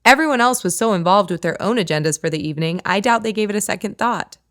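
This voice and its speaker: A woman's voice: medium pitch, expressive, fast talker, a bit bright, no room sound, clean studio sound, lightly acted. A woman voicing a teasing, goading woman friend in an audiobook. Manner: playful, goading.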